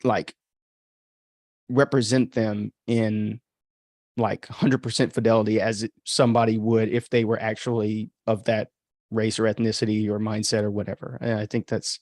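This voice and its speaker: A man's voice: lowish voice, flat delivery, moderate pace, a little mellow, no room sound, studio quality. A man from the United States giving casual, opinionated, rambling livestream commentary as a podcast host. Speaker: podcast host and author commenting on own story.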